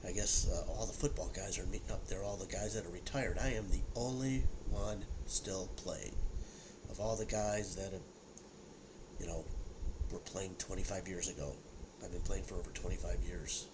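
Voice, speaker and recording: A man's voice: sits low, fairly even intonation, moderate pace, background noise. Congested man in his sixties, rambling. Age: 60s. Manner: rambling, repeats words, many fillers. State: congested, sniffly. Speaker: whiskey review vlogger. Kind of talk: casual solo tasting chat. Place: at home in his armchair.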